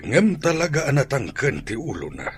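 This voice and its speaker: A man playing a grumbling man of the household in an Ilocano radio drama, with a husky, rough voice. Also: medium pitch, huge pitch swings, moderate pace, slight background noise. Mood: reproachful.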